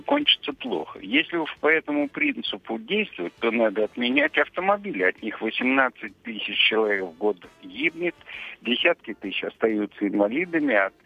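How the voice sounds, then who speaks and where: A man's voice: mid-range voice, vocal fry, breathy edge, dry recording. A man in his late seventies calling in to a radio show, arguing emphatically. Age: 70s. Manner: emphatic, argumentative. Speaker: caller. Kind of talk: call-in radio. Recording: tinny dry phone line.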